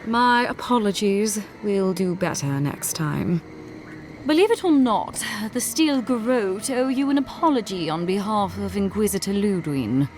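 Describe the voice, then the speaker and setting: A woman playing a smug, zealous inquisitor's agent in a fantasy game, speaking in a measured, formal and condescending way with courteous menace. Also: medium pitch, animated, darkish tone, a little hiss or hum, lightly acted. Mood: mock polite. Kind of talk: scripted fantasy game dialogue.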